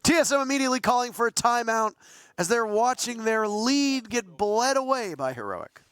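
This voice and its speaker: A man casting live esports play-by-play, excitable, his voice jumping up at big plays. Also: very high voice, moderate pace, smooth. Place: broadcast desk at a live event.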